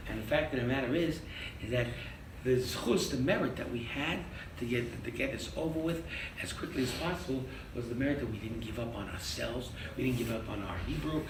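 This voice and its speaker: An older man teaching a lively interactive Torah class as a rabbi, quizzing his audience and joking. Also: medium pitch. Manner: animated, quizzes the audience, jokes. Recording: echoey room from far off with noise.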